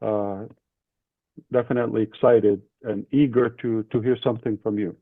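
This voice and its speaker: A man's voice: on the low side, steady tone, moderate pace, dry recording. A man leading an online session, giving an emphatic motivational business talk in short declarative phrases. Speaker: leader and main speaker of an online group session. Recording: thin phone-like call audio.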